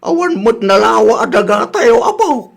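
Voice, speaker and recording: A man voicing an excitable, meddling elderly grandparent with drawn-out exclamations in a comedy radio drama. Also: very high voice, vocal fry, voice pushed up, full character voice. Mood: exclamatory.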